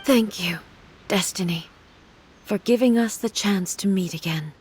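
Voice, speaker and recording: An actress playing a solemn, regal goddess mourning her sister in a video game, speaking in a soft, hushed, measured way. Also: mid-range voice, slight background noise, natural voice. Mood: sorrowful.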